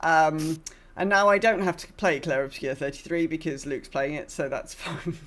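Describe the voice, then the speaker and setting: A woman's voice: sits low, fairly even intonation, moderate pace. Rambling woman podcast host. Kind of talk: casual gaming podcast chat.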